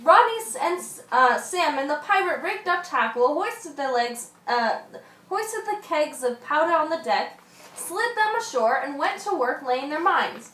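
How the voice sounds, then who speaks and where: Woman as a high-voiced salty yarn-spinning captain. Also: very animated, measured pace, fairly bright tone, exaggerated voice. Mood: storytelling. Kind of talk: radio play storytelling. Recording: roomy, distant, slightly noisy recording.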